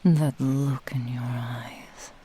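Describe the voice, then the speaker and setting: A woman plays a seductive fox-spirit witch in a whispered ASMR roleplay. The voice is low and breathy, lingering very slowly over each grand, possessive phrase. The witch comes across as proud and intimate. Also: steady tone, mellow, exaggerated voice.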